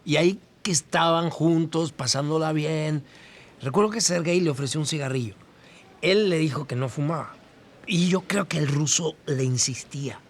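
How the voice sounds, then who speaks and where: An actor playing a kindly, sentimental Mexican campus worker giving testimony in an audio drama, chatty and hesitant. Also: male voice, a little high, normal speed. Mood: warm, moved. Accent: Latin American Spanish, Mexican. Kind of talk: documentary-style testimony, scripted audio drama. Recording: voice over noticeable ambient noise.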